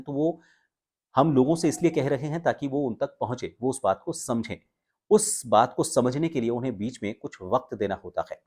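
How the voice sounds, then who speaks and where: A man giving an instructional talk to viewers as a voice coach, explaining with pauses and stress on key words. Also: mid-range voice, dark tone, husky. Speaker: voice coach and video presenter.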